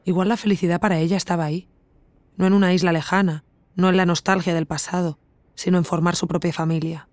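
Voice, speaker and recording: An actress playing an introspective podcast investigator with an accent from Spain, narrating in a measured, reflective first person for an audio drama. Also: female voice, lowish voice, steady tone, a little mellow, no echo, lightly acted. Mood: pensive, questioning.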